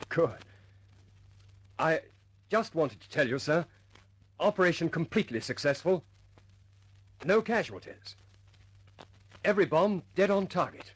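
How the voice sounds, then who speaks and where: Brisk, high-pitched squadron leader. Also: male voice, slightly rough, heavily acted, low-quality recording. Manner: clipped, commanding, chummy officer talk. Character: brisk male RAF squadron leader, clipped and courteous. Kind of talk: wartime radio drama acting. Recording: old radio recording, background hiss and drone.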